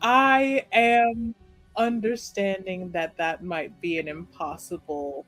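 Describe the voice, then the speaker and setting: A woman's voice: medium pitch, fairly even intonation, slow, fairly bright tone, soft background music. A woman playing a polite, gracious noblewoman host with formal, practiced courtesy in a fantasy tabletop game. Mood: careful.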